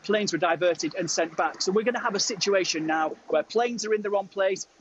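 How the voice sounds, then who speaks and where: Male reporter, notably high voice, emphatic live delivery over dull, noisy airport audio. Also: fairly quick. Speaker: transport correspondent. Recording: dull audio with clear background noise.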